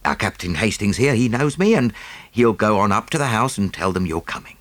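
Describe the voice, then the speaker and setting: Casting: male reader as Scotland Yard inspector, genial and businesslike, teasing edge, very mobile pitch. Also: sing-song, fairly quick, fairly bright tone, no room sound. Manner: soothing, jovial, matter-of-fact. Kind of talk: audiobook character acting.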